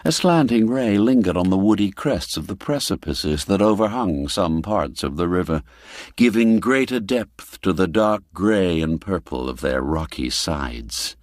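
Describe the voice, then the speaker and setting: A man reading a classic tale aloud as a scripted narration, slow and storytelling, letting phrase endings fall away. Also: sits low, expressive, no echo, studio quality. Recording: faint music behind.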